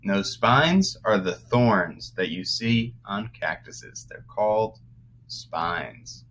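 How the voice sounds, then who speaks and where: A man teaching young children through a read-aloud, pausing to explain words as he goes. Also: on the low side, lively intonation, fairly bright tone, a little hiss or hum. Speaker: first-grade teacher.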